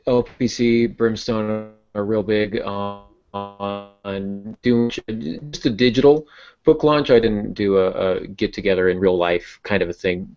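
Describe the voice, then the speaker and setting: A man's voice: lowish voice, darkish tone. A man hosting a casual podcast, chatty and explanatory, with a self-deprecating sense of humor. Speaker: podcast host and novelist. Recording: dull lo-fi audio.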